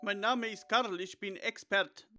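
A man's voice: sits high, steady tone, measured pace, bright, forceful tone, full character voice. A man playing a know-it-all young detective in a German audio drama parody. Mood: self-important.

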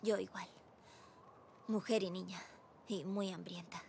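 A woman's voice: medium pitch, fairly even intonation, measured pace, gravelly, darkish tone. A woman playing a tough, wary teenage girl survivor in a video game, curt and defiant, with a Castilian Spanish accent. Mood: wary, threatening. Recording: game ambience and noise behind voice.

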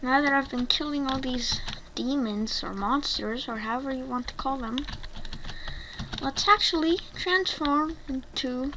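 A female-sounding gaming YouTuber giving casual gameplay commentary in a rambling, hesitant way. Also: high-pitched. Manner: rambling, hesitant, halting delivery. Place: home bedroom, voice over gameplay. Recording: noisy home mic with keyboard clicks.

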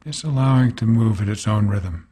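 A man guiding a body scan meditation as a teacher, speaking calmly in gentle, unhurried phrases with pauses between them. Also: fairly low pitch, slightly rough.

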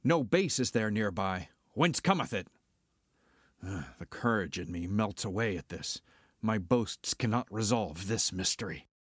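An actor playing a cocky, skeptical smuggler pilot, declaiming theatrically in a Shakespearean dramatic reading. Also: male voice, lowish voice, expressive, a little slow, breathy edge, dry recording, clean studio sound. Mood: wary, bold.

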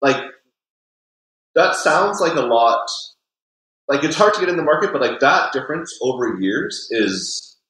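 A realtor, a man, giving casual advice in a relaxed, filler-heavy way from his home office over a video call. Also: on the high side, a little slow, long pauses. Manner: relaxed, repeats filler words. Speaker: realtor, podcast guest. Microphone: laptop mic on video call. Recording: roomy video call audio.

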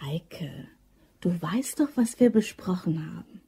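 Radio drama role: chatty, insistent young woman devoted to her man, soft dark tone, slightly breathy. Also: fairly low pitch, expressive, takes it slowly, exaggerated voice. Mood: earnest, defensive. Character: eager young woman devoted to her boyfriend, scheming, upbeat. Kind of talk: German crime radio drama acting.

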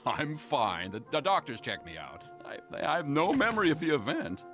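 An actor playing a television news anchor putting on a brave face in an animated game, reassuring and a little halting. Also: male voice, on the high side. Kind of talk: animated game cutscene acting. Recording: music behind the voice.

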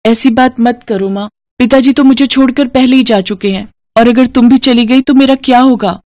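A woman's voice: fairly high pitch, normal speed, a little mellow, no room sound, pitched above the performer's own voice, lightly acted. A woman plays a meek young bride-to-be from a poor home in a cartoon tale. She sounds earnest, dutiful and plaintive, in a close, dry recording. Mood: pleading.

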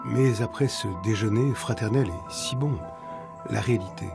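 An older man hosting and narrating a documentary in a grave, measured way. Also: mid-range voice, vocal fry. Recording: music under the narration.

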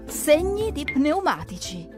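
A woman narrating a preschool cartoon in an expressive, exclamatory way, speaking to the characters. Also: high voice, takes it slowly. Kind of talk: lively scripted narration for preschoolers, talking to the characters. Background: background music.

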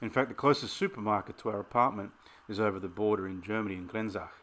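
A man's voice: lowish voice, steady tone, quick pace, fairly bright tone. A middle-aged Australian man hosting a travel vlog, casually giving practical tips.

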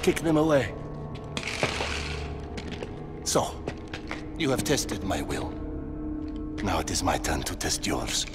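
Ruthless warlord, lively swooping pitch, cold menace, cinematic game villain, music behind. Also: male voice, a little slow, exaggerated voice. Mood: commanding, angry. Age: middle-aged. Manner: theatrical speechifying, menacing. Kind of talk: dramatic video game acting.

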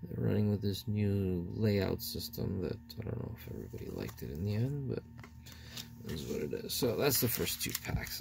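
A man hosting his own card-opening channel, calmly reading out player names one by one in casual commentary, recorded indoors at a table. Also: deep voice, gravelly, very noisy. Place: indoors at a table, hands on camera only.

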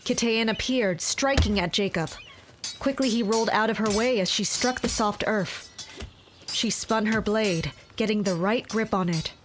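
A woman's voice: medium pitch, moderate pace, background noise, close to an everyday voice. A woman narrating a fantasy audio drama as a composed storyteller, reading scripted action.

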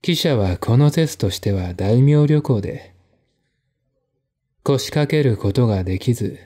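A man narrates a mystery novel as a dramatic audiobook. His voice is mellow and medium in pitch, and he reads slowly, phrase by deliberate phrase. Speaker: narrator and reader.

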